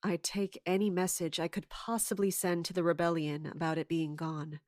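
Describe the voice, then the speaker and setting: Actress as scheming woman commander, slightly low voice, dry sound, measured authority, audio drama. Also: level tone, a little mellow, dry recording, natural voice. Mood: brooding, resolved. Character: scheming, commanding woman in charge of palace guards. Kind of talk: audio drama acting with first-person narration.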